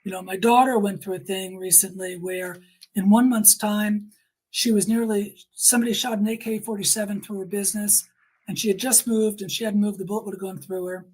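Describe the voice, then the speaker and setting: A middle-aged woman with a slightly low, husky voice tells a personal story in conversation. She is earnest and emphatic, often relaying dialogue as she goes. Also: fairly even intonation, brisk, darkish tone, low-quality recording.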